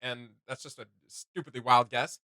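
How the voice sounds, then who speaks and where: A man's voice: mid-range voice, steady tone, moderate pace, pushed and bright, no echo, studio quality. A man hosting a fan reaction show, giving casual, slangy and enthusiastic commentary.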